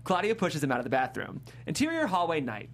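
A woman's voice: deep voice, huge pitch swings, fast. A woman reading out screenplay stage directions as a narrator. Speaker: script narrator.

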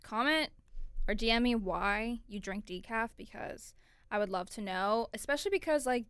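A woman's voice: on the high side, normal speed, a bit bright, clear, resonant tone, no room sound. A twenty-year-old woman from Florida hosting her own podcast in a chatty, upbeat way, often saying "like" and "you know". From Orlando, Florida, USA. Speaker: podcast host. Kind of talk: casual solo podcast chat.

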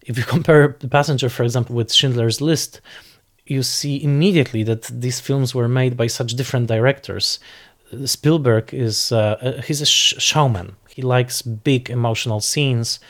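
A man speaking off the cuff as a film critic in an interview, analysing in a deliberate, emphatic way and searching for precise words. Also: medium pitch, fairly even intonation. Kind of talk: unscripted analytical interview commentary.

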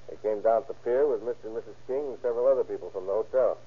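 An actor playing a deferential, plain-spoken boat captain in an old radio drama. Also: male voice, on the low side, very fast, breathy edge. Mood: folksy, accommodating. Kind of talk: 1940s radio acting. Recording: muffled, hissy old radio recording.

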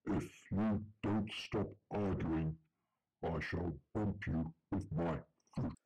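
A man voicing a big stern grapefruit tree with a booming, slow, husky voice. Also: warm tone, full character voice, rough recording.

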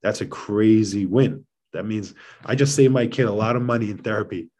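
A man's voice: lowish voice, moderate pace, darkish tone. A man speaking as a podcast guest in casual conversation, explaining things in an earnest, emphatic way. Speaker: podcast guest, father of seven.

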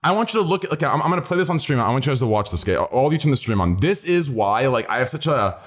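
Male streamer host, swings his pitch all over, loud, profane, joking over voice chat. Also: very animated, very fast. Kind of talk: casual group chat on stream. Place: online voice chat.

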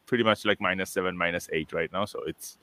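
A man in his late thirties from Sri Lanka, a guest chatting casually on a video call, with a relaxed, rambling manner, restarting and chuckling often. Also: sits low, quick pace, no room sound. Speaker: interview guest, entrepreneur. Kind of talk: casual conversational interview.

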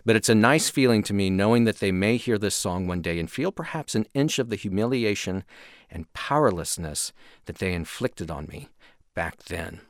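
A man's voice: fairly low pitch, expressive, normal speed, no room sound, clean studio sound. A man presenting music on the radio in a conversational way. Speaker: music show host.

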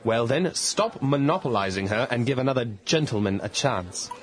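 An actor playing a gallant, wry young English lord in a radio drama, suave and genteel in manner. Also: male voice, medium pitch, lively intonation, normal speed, lightly acted. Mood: suave teasing.

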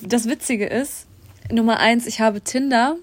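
A woman's voice: fairly high pitch, unhurried, no room sound. A young woman co-hosting a podcast, chatting casually and outspokenly, often speaking straight to listeners. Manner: outspoken, addresses listeners directly. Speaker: podcast co-host. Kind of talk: casual chat.

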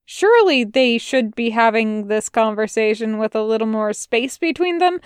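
A woman reading fan fiction aloud as an audiobook in slow, measured narration. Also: a little high, animated, clear, resonant tone, no echo, clean studio sound. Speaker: audiobook reader.

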